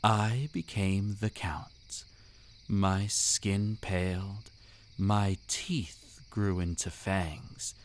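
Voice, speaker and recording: A man's voice: deep voice, level tone, extremely slow, slight background noise. A man playing a seductive, commanding vampire count in an intimate audio roleplay, suave, teasing and theatrically formal. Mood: smug, seductive. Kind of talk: intimate roleplay audio drama, scripted monologue.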